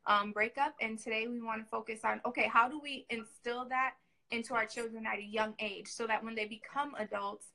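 A woman's voice: fairly high pitch, fairly even intonation, brisk, vocal fry, pushed and bright, low-quality recording. A woman hosting a casual livestream interview. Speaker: host.

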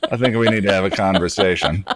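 Low-voiced man chatting on a podcast. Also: quick pace, fairly bright tone, no echo, clean studio sound. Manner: self-deprecating, hesitant phrasing. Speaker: podcast and channel host. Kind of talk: casual podcast conversation.

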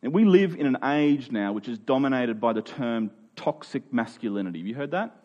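A man's voice: medium pitch, animated, fairly quick, highs cut off. Earnest preacher in his forties. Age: 40s. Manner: earnest, emphatic preaching with sudden drops to a quiet voice. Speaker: pastor. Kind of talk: sermon.

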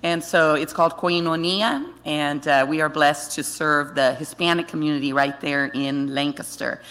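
A woman's voice: low-pitched, fairly even intonation, normal speed, a bit bright. A middle-aged woman who leads a women's ministry, giving a short, hesitant church introduction with many fillers. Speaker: ministry leader.

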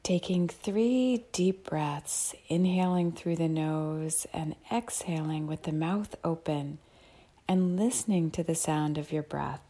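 A woman guides a mindfulness meditation with a low-pitched, mellow voice. Her delivery is very slow and soft, with calm, measured phrases and unhurried pauses.

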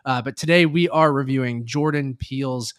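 A man hosts a movie podcast in a loose, conversational style. His voice sits at an ordinary male pitch, and the sound is close and dry, with very little room to it. He talks informally, as if chatting with friends. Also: moderate pace, a bit bright, no room sound. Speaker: podcast host. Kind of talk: casual movie review podcast chat.

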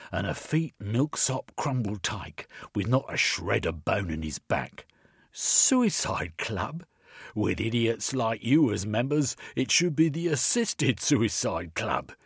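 Storybook inspector read by a man: loud, mocking bully, husky, dry close sound. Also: fairly low pitch, no echo, voice pushed up, full character voice. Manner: sneering, mocking, shouting. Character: blustering bullying male police inspector.

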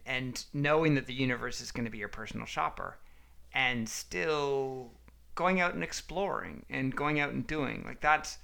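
A man talks casually as a podcast co-host. His voice is bright and clear, with a creaky edge. He rambles and halts, feeling for the right words as he goes. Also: medium pitch. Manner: rambling, halting, searching for words. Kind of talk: casual chat, storytelling.

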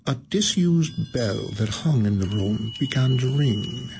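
A man narrating a radio play in a measured, evocative, deliberate way. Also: mid-range voice, animated, noisy background. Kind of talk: dramatic storytelling narration.

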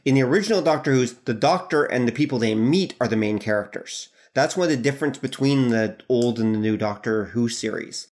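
A man's voice: medium pitch, fairly quick, few pauses, a little mellow. A man speaking as a podcast guest in casual chat, in an explanatory, lecturing way.

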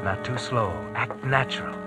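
A man's voice: medium pitch, moderate pace, close to an everyday voice. A male actor plays an all-knowing narrator of 1940s radio crime tales. He taunts and urges in an ominous way, speaking as if to the guilty man himself. Music plays behind him on an old recording. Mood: ominous, urging. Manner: needling, ominous, addresses the character directly.

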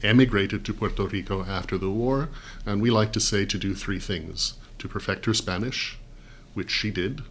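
A middle-aged man from New York, a guest telling stories in a measured, articulate way with dry humor. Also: lowish voice, a bit bright, a little hiss or hum. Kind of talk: conversational storytelling.

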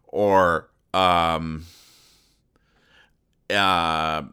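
Low, crisp-voiced man, deadpan comic commentary. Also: drawn-out words. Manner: deadpan, mock-exasperated. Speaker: podcast host. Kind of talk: comic commentary reading newspaper comics aloud.

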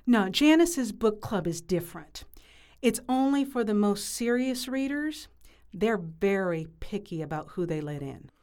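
A woman's voice: mid-range voice, lively intonation, unhurried, darkish tone, close to an everyday voice. A woman playing a self-important, eager bookworm, earnest and chatty, in an audio drama. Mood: eager, proud.

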